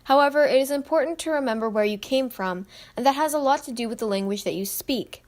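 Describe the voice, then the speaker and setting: A woman delivers a quick, rehearsed opinion. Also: sits high, darkish tone. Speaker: interviewee.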